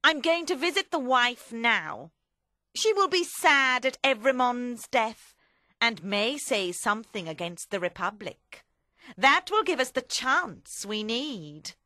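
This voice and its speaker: A woman voicing a cold, vengeful revolutionary woman in a storybook reading, forceful, deliberate and ominous. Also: medium pitch, lively intonation, dry recording, clean studio sound.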